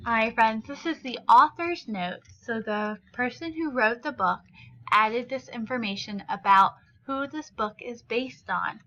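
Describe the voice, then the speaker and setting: A woman reads a picture book aloud for young listeners. Her voice is bright and fairly high, and she phrases each line expressively and deliberately. The recording is a little dull and lo-fi. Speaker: read-aloud storyteller.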